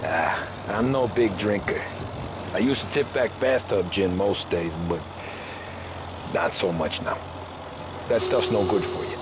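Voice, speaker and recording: An actor playing a cautious young male ex-cab driver, speaking in plain, measured tones. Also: fairly low pitch, close to an everyday voice. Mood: calm. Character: level-headed young male ex-cabbie, cautious. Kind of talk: video game cutscene acting. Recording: car and street noise.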